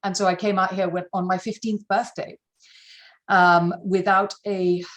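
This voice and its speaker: A woman's voice: medium pitch, little pitch movement, a little slow. Candid middle-aged woman from Malawi. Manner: emphatic, repeats words when stressing a point. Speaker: interview guest, entrepreneur. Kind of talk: candid personal interview.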